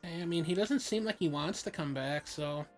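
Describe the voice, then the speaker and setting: A woman voicing an awkward, puzzled young adventurer in an improvised comedic fan dub of a fantasy game, speaking casually and haltingly. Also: on the low side, close to an everyday voice. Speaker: amateur live fan-dub voice actor. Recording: background music under the voice.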